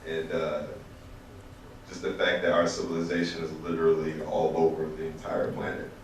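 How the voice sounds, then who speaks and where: A young man on a student panel giving a halting answer full of fillers and restarts. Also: very deep voice, monotone, slight background noise. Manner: hesitant, halting, many fillers. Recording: echoey hall, distant mic.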